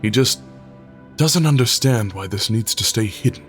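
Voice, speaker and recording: A man's voice: fairly low pitch, lively intonation, normal speed, close to an everyday voice. An actor playing a proud, guarded male paladin leader who speaks tersely. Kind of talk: audio drama acting. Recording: music bed underneath.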